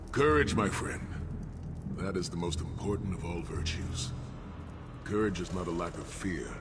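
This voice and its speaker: Male actor as noble game mentor, low voice, calm, formal, measured, loud ambient noise. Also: natural voice. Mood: calm, encouraging. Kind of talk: dramatic video game dialogue.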